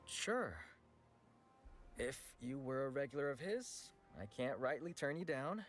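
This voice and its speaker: An actor playing a frank, world-weary weapons merchant in a video game, with a casual, blunt manner. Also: male voice, medium pitch, lively intonation, measured pace, lightly acted. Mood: businesslike. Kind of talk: scripted game voice acting. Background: background music.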